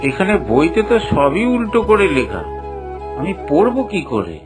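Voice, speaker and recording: A man's voice: a little high, wildly swooping pitch, normal speed. Casting: male actor as earnest, inquisitive traveller in a moral-tale cartoon, raised eager voice over faint music. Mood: puzzled. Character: Harish, curious earnest male visitor to a strange village. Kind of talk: Bengali cartoon dubbing.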